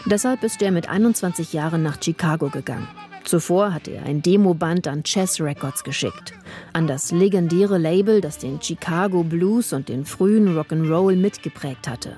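A woman narrates a news feature in a low, mellow voice. Her delivery is even and measured, and faint music plays underneath. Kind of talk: scripted narration.

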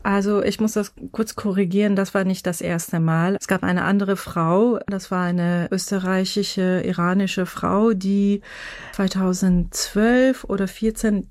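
Mellow low-voiced woman with Persian accent. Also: dry recording, clean studio sound. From Iran. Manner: non-native, slightly irregular grammar. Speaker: interview guest, musician and festival curator. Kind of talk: spoken interview answers.

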